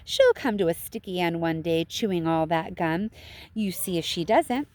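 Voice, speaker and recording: A woman voicing a disapproving, sharp-tongued old grandmother grumbling in a children's storybook. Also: fairly low pitch, expressive, moderate pace, no echo, close to an everyday voice. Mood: scornful. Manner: scornful, grumbling. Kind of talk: children's storybook read-aloud.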